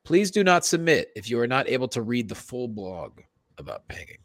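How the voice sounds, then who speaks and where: A man's voice: mid-range voice, sing-song, a little fast, no echo, clean studio sound. A man co-hosting a comedy podcast, moving between casual banter and mock-dramatic reading. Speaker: podcast co-host.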